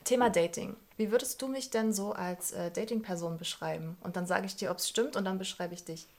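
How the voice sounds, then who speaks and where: A woman's voice: medium pitch, fairly quick, a little mellow. A woman in her thirties from Leipzig, co-hosting a casual podcast in a chatty, enthusiastic way. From Leipzig, Germany. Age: 30s. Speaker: podcast co-host. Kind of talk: casual chat.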